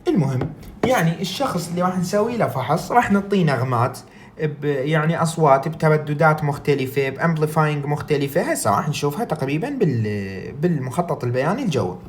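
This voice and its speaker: A woman with an Iraqi Arabic accent gives a recorded lecture in a fairly low voice. Her intonation rises and falls a lot. She reads English passages slowly, then explains them in Arabic, asking herself questions and answering them. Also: lively intonation, a little hiss or hum.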